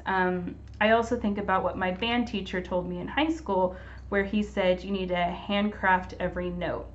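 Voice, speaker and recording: A young adult woman giving casual, earnest tips to camera on reading stories to kids, in a plain, encouraging way. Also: mid-range voice. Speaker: storytime presenter. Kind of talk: casual instructional talk to camera. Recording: dull lo-fi recording.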